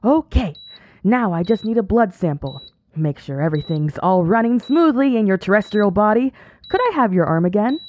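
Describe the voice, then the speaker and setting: A woman's voice: medium pitch, lively intonation, moderate pace, a little mellow, muffled recording, natural voice. A woman playing a chatty, saccharine nurse with an unsettlingly careless manner in an audio drama. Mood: brisk, eerily cheery. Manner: sugary endearments, breezy, chattering.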